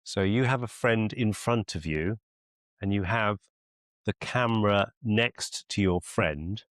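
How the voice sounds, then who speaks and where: A man coaching one-to-one on a video call as a mentor, deliberate and encouraging, often repeating phrases for emphasis. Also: on the low side, no echo, studio quality. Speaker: business mentor and clinician.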